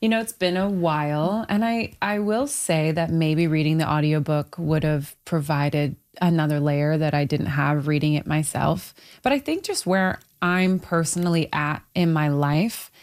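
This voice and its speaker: A woman with a low-set voice shares her views in a casual discussion. She is candid and reflective, leaning on key words for emphasis as she thinks aloud. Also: moderate pace, hardly any pauses, darkish tone. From Toronto, Canada. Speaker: book club member on a discussion panel.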